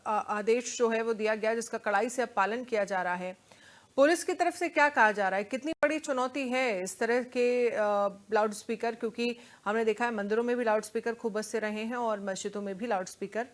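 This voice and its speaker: A woman's voice: on the high side, a little fast. A woman, presenting live news and putting questions to a reporter as anchor.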